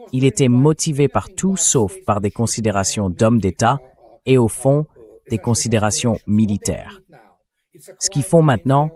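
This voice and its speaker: A man's voice: mid-range voice, darkish tone. A man reading a translated interview as a guest commentator, speaking bluntly and emphatically about military affairs.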